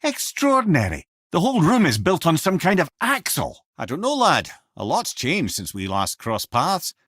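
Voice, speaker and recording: A man's voice: on the high side, huge pitch swings, moderate pace, a bit bright, no room sound, studio quality, exaggerated voice. An actor voicing a wise, wry older Scottish man, a talking severed head in a video game, with a theatrical, folksy manner. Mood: musing. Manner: wry, theatrical, folksy, addresses listeners as brother and lad. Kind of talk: expressive video game character acting, storytelling and banter.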